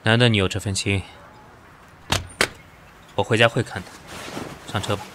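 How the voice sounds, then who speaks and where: An actor playing a proud, cool company boss with a condescending, sarcastic manner in an audio drama. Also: male voice, lowish voice, fairly even intonation, normal speed, noisy background, natural voice. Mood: cool, needling. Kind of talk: modern audio drama acting.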